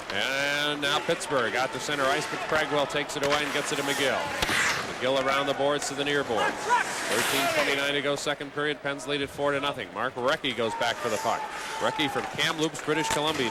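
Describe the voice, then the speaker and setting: Male hockey play-by-play man over loud arena noise. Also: medium pitch. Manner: conversational asides between calls. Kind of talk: live sports commentary. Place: broadcast position in an indoor arena. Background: ice hockey arena.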